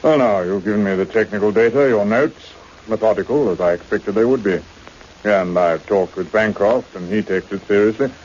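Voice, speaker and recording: A mild-mannered yet incisive government minister acted by a man, with a lowish voice. He questions deliberately and with clipped authority in a radio drama, recorded dully with slight noise. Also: a little mellow, lo-fi sound, close to an everyday voice. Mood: cool, appraising. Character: quiet, mild, sharply probing male government minister.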